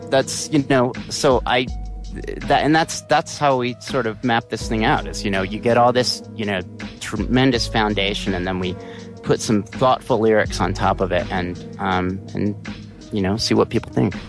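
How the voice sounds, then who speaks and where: A man in his forties with a deep voice, chatting loosely as an interview guest with plenty of fillers. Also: moderate pace, over music. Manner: rambling, relaxed, many fillers. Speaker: interview guest, musician and actor. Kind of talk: casual interview chat.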